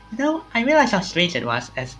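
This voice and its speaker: A streamer reads a visual novel's lines aloud in a very animated voice. The pitch climbs and drops a lot as the dialogue shifts from speaker to speaker. The delivery sits at a middle pitch overall. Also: moderate pace, faint music behind.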